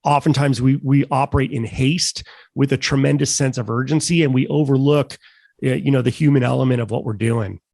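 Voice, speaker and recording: Croaky man, mid pitch, talks sales in a relaxed, measured way with fillers. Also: no room sound. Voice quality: croaky, gravelly. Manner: measured, folksy, with many fillers. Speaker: sales panelist. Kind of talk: casual panel discussion.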